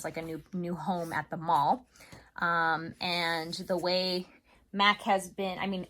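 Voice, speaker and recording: Bright-voiced woman teaching young children. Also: lowish voice. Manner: chatty, addresses children as friends, expressive. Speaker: third grade teacher. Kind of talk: upbeat video lesson and read-aloud for children.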